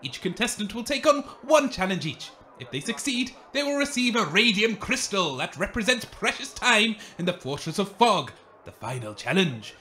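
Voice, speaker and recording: A man's voice: sits high, sing-song, normal speed, bright, forceful tone, a little hiss or hum, full character voice. A man playing a showy, grandiose wasteland game show host in a comedic radio play.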